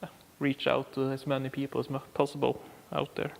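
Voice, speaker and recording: A man from Sweden with a Swedish accent, chatting haltingly with many ums and dry jokes while repairing a car part. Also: medium pitch, monotone, creaky, big-room sound, slight background noise. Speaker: DIY car repair vlogger. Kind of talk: casual workshop commentary.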